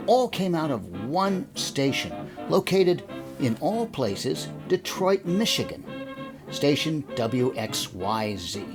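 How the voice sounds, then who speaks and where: A man hosting, delivering a scripted introduction to a radio drama program. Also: mid-range voice. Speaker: host.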